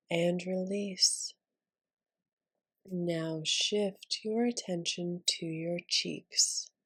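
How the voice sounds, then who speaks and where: A woman leading a guided meditation in a soothing, breathy, whispery voice, leaving long pauses between gentle instructions. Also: medium pitch.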